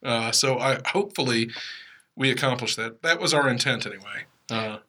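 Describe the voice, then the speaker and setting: Male podcast host, crisp bright tone, casual chat. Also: mid-range voice, animated, normal speed.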